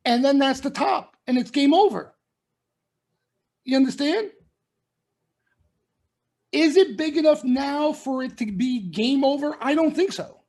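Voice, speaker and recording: A man's voice: extremely high pitch, darkish tone. A middle-aged man giving a market commentary broadcast, warning listeners emphatically and repeating his points. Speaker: stock trading commentator. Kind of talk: members-only market broadcast.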